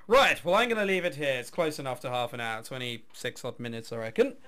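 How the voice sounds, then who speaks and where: A man's voice: medium pitch, a little fast, fairly bright tone, smooth. A man reading a visual novel aloud, mixing dramatic narration with casual, offhand commentary. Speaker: video game let's player.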